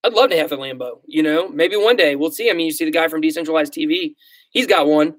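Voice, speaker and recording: A man in his thirties with a Southern accent, hosting a casual livestream and talking through viewer comments. His voice sits slightly high, and he rambles with frequent fillers and self-deprecating asides. The recording is close and dry, with no room sound. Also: quick pace, a bit bright, no room sound. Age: 30s. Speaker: crypto livestream host.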